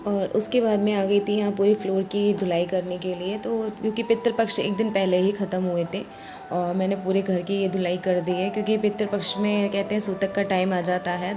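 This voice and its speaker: A woman with a hoarse voice, chatting through her home chores as a vlog voice-over and explaining each step. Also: medium pitch, dark tone, a little hiss or hum. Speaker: vlogger.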